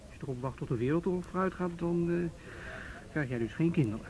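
A man's voice: fairly high pitch, quick pace, a little mellow, lightly acted, low-quality recording. A man acting an easygoing, teasing young policeman in a radio drama, bantering informally.

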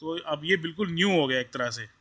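A man with an Indian accent hosts an informal tech tutorial. His voice sits a little high and is very bright and forceful. He explains each step in turn, often repeating himself. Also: expressive, fast talker, husky. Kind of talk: informal hands-on tutorial, mixing Hindi and English.

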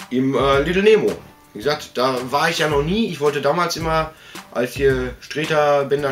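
A man, chatting casually in a rambling, colloquial way that often interrupts itself. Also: fairly high pitch. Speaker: video host showing collectibles. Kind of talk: casual vlog chat. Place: indoor room full of collectibles.

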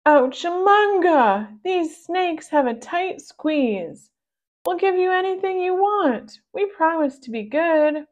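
A woman's voice: very high voice, animated, slow, pitched above the performer's own voice, full character voice. A woman voicing whining, grumbling little pug swindlers from a children's storybook. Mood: pained pleading.

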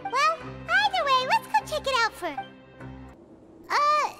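A little floating fairy girl from a video game, childlike and chirpy. The voice is extremely high and squeaky, swinging wildly in pitch. Loud game music plays behind it. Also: animated, slow pace, full character voice. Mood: flustered. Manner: chirpy, refers to herself in the third person. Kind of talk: animated video game acting.

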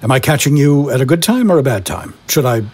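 Casting: man as courteous, slightly flustered interviewer in audio comedy, medium-pitched everyday voice, halting questions. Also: very animated, darkish tone, breathy edge. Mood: courteous, tentative. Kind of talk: hesitant, polite phone interview in comic audio drama.

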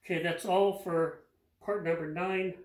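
Older guy talking shop in an echoey garage, kinda high voice, practical and plain. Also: fairly even intonation, unhurried. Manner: plainspoken, practical, repeats words while working. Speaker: home mechanic explaining an engine rebuild. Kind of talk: hands-on how-to explanation. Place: home garage workshop, indoors.

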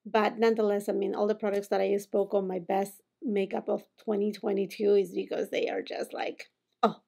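A woman in her forties from Peru with a Peruvian Spanish accent, chatting through a makeup tutorial at home, animated as she applies products. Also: mid-range voice, moderate pace, creaky. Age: 40s. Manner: animated, gestures a lot while talking. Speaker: beauty vlogger. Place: home room with holiday decor.

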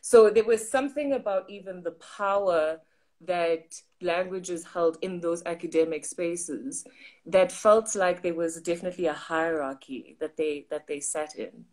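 A woman's voice: fairly low pitch, a little slow. A South African woman in her thirties, co-hosting a livestream and telling a personal story in casual chat over a video call from a study at home. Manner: animated, gesturing, voices quoted people. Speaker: co-host. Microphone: video call on phone or laptop.

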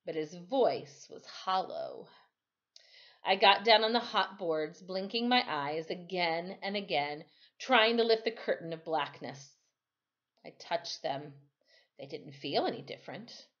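A woman's voice: on the low side, fairly bright tone, highs cut off. A middle-aged woman reading a children's novel aloud expressively, like a teacher at storytime. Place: small room at home, indoors.

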